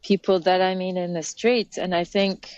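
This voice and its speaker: A woman with a fairly low voice talks gently and without hurry, repeating words as she finds her way. Her sound is close and dry on a video call, with no room echo. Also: little pitch movement, normal speed, muffled recording. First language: French. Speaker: guest, nature therapy educator. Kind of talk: reflective interview talk. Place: outdoors among trees.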